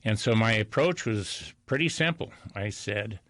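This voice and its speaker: A man's voice: on the low side, animated, unhurried, a bit bright, dry recording. An older man speaking as an interview guest, telling anecdotes in a folksy, self-deprecating way. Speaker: interview guest, real estate broker.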